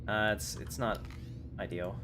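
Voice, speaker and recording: A man's voice: lowish voice, steady tone, a little slow. A man giving casual, chatty game livestream commentary, rambling along in slangy talk. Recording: clearly noisy livestream audio.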